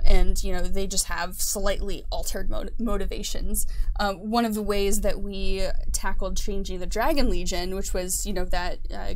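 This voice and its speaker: A woman's voice: medium pitch, slight background noise, rough recording. A woman talking casually and enthusiastically as a guest game developer, explaining a tabletop game setting.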